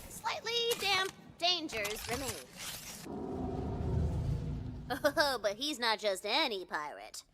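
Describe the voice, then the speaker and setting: A woman voicing an excitable, dramatic, childlike girl who runs a fantasy tabletop game in a video game. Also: extremely high pitch, roomy sound, full character voice. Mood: hyped, theatrical. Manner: dramatic, bratty, slangy. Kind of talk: animated video game acting. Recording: loud game ambience behind.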